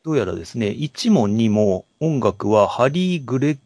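Man, podcast co-host, animated pitch movement, husky, hesitant word-searching, casual discussion. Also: moderate pace, no room sound, studio quality.

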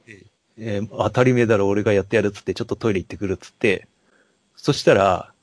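A man co-hosting a podcast, talking casually about films in a halting, storytelling way. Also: lowish voice, fairly even intonation, dry recording. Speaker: podcast co-host. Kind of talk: casual film discussion.

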